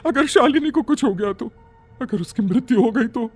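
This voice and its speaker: A man's voice: very high-pitched, animated, normal speed, slightly rough, voice pushed up, full character voice. A man voicing a frightened but determined village aunt with an urgent, pleading manner in a narrated horror story. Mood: horrified. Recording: background music under the voice.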